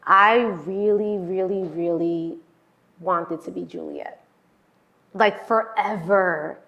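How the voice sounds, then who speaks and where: A woman hosting a casual interview, relaxed and quick to answer with "yeah" and "mm-hmm". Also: on the low side, creaky, lo-fi sound. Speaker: podcast host.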